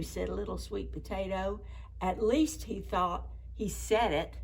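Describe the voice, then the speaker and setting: Slow-paced woman reading to preschoolers. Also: a little high. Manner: expressive, deliberate, stretching and stressing key words. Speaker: children's librarian, storytime host. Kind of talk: read-aloud storytelling for preschoolers.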